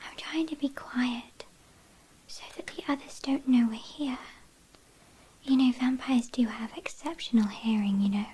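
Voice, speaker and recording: A woman's voice: fairly high pitch, steady tone, mellow, slight background noise, natural voice, poor audio. A woman with a British accent playing a teasing, haughty vampire kidnapper in an ASMR roleplay, whispering breathily. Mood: teasing, smug. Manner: teasing, mock-apologetic, unhurried.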